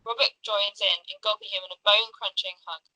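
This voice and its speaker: A woman's voice: medium pitch, fairly even intonation, brisk, bright, forceful tone, no echo, poor audio. A woman narrating the stage directions of a script at a table read, occasionally stumbling over the text.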